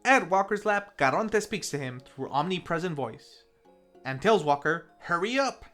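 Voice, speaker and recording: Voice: mid-range voice, animated, normal speed, a bit bright, faint music behind. A film review host narrating a scripted recap in a casual, playful storytelling manner.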